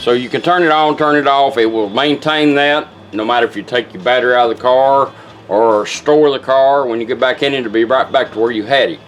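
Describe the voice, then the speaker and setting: A man's voice: medium pitch, fairly quick. A man giving a casual, folksy hands-on product demonstration in plain words and short step-by-step instructions. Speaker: car radio restorer giving a product demonstration. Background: car radio playing news and music.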